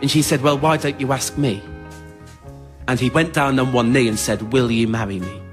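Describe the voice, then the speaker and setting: A man's voice: medium pitch, fairly even intonation, normal speed, soft background music. A man tells an autobiographical story to a theatre audience in an understated, wry, plain-spoken way, as the writer and performer of a solo show. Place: theatre stage in front of an audience.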